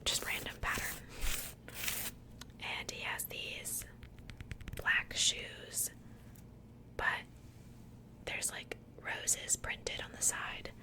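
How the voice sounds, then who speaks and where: A woman whispering softly and breathily in a casual, gentle ASMR style, chatting conversationally close to the microphone. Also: drawn-out words, pauses often. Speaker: host of an ASMR doll unboxing channel.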